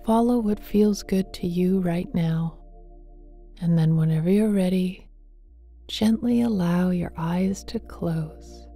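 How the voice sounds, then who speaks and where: Very soft, mellow woman guiding meditation, slowly. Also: fairly low pitch. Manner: gentle, hushed, often breathy near a whisper; tender, affectionate address to listener. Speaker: meditation guide. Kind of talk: guided meditation, slow and soothing. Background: soft meditation music with singing bowls.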